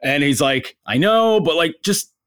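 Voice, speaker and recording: A man with a bass voice, a guest on a podcast, telling stories in a casual, self-deprecating way. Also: expressive, measured pace, fairly bright tone, dry recording, studio quality. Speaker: podcast guest, sports writer.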